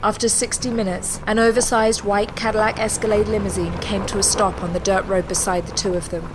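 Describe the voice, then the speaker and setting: A woman's voice: mid-range voice, flat delivery, fairly quick, noisy background. A woman delivering scripted narration for a sci-fi audio drama as its storyteller.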